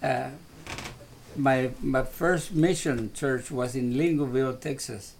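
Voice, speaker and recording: An older man with a mid-pitched voice chats as a family guest. He speaks in a plain, earnest, unhurried way. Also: vocal fry, darkish tone, a little hiss or hum. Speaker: guest, father of the host. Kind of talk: casual family chat.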